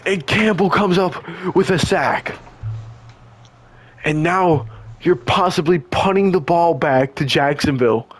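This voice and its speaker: A young man, hoarse and losing his voice, doing casual, slangy play-by-play of a video game football match. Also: on the high side, a little slow. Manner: excitable, slangy, stumbles and restarts. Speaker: play-by-play commentator.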